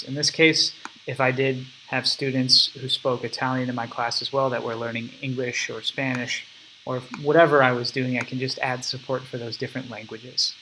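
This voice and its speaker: A man's voice: medium pitch, bright, forceful tone, slight background noise, rough recording. A young man casually explaining his app step by step in a recorded screen walkthrough at his computer. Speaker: app developer demonstrating his product.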